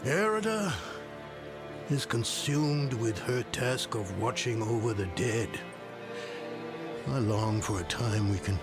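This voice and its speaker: An actor playing a world-weary older nobleman and former demon slayer in a fantasy game, grave and measured. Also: medium pitch. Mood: wistful. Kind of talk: dramatic fantasy game acting.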